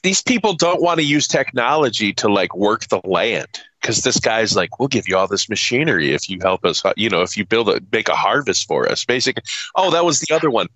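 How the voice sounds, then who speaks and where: Man, podcast co-host, bright forceful voice, very lively pitch, profane, exasperated, emphatic, casual ranting. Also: brisk, no room sound, lo-fi sound. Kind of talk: casual chat, ranting movie review.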